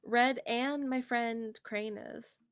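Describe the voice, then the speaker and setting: A woman's voice: on the high side, steady tone, slow pace, clean tone, dry recording, studio quality. A woman co-hosting a livestream, chatting casually. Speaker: stream co-host.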